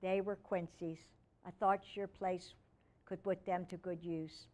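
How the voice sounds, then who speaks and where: A woman reads the part of a grey-haired storybook man, gruff and sad. He speaks tersely, withdrawn into himself. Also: lowish voice, little pitch movement, a little slow, darkish tone, lightly acted. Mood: gruff, subdued. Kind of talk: children's storybook read-aloud.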